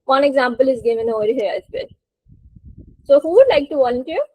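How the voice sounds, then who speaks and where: High-pitched woman teaching English online. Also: expressive, fairly quick, no echo, rough recording. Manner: encouraging, prompting participants. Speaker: English teacher hosting a webinar. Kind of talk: spoken English lesson to a group. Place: online video call.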